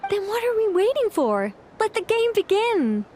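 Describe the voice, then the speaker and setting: A woman's voice: extremely high pitch, big pitch swings, normal speed, a little mellow, a little hiss or hum. A woman voicing a proud, needy, whimsical ancient mage in an anime game, theatrical, chatty and self-conscious. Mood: excited. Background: music.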